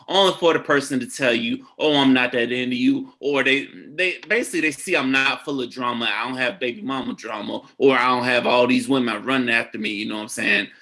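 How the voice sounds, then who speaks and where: A man in his early thirties talks in a casual podcast chat. His voice is bright, and he keeps checking in with "you know what I'm saying" as he tells his dating stories. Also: mid-range voice. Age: 30s. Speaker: podcast guest.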